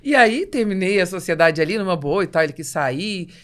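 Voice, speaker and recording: A Brazilian woman hosting a podcast interview, telling personal anecdotes in an animated way and acting out dialogue. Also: lowish voice, a little fast. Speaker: podcast host and business coach.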